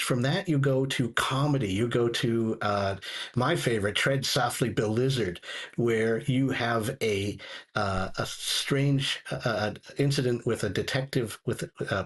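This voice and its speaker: A man talking casually as a guest reviewer, halting and often repeating words as he searches for phrasing. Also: fairly low pitch, a bit bright, husky. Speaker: guest reviewer of audio dramas.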